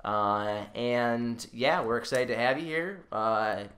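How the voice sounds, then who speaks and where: Bright-voiced young man, crude podcast banter. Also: medium pitch, slow, a little hiss or hum. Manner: crude, joking, profane. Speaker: podcast co-host. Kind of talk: casual comedic banter.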